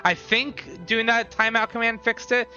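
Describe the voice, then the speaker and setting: A man's voice: very high voice, unhurried, bright, forceful tone. A man giving casual, offhand live stream commentary, reacting to a game and chat, slightly dull sound with music behind. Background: faint game music.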